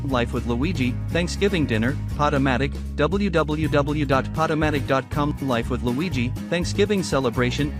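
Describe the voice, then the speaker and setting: A man with a fairly high voice reads scripted credits as an announcer. Music plays clearly behind him. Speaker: announcer reading closing credits. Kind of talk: scripted narration.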